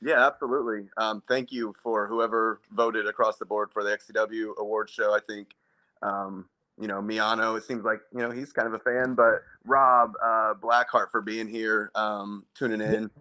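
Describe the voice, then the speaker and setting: A man's voice: on the low side, little pitch movement, moderate pace, pushed and bright, poor audio. A man chatting casually as a wrestler guest in an interview, modest and appreciative in manner.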